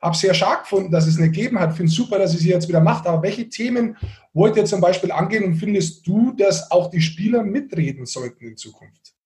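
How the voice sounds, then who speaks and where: A man with a notably high-pitched voice hosts a podcast interview. He talks conversationally and wraps his own opinions into long-winded questions. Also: expressive, a little fast, a little mellow. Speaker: podcast co-host, former player.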